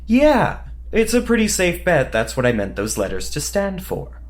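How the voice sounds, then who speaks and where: A man playing a dry, sardonic veteran secret agent in an audio drama, terse, pedantic and deadpan. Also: sits high, moderate pace.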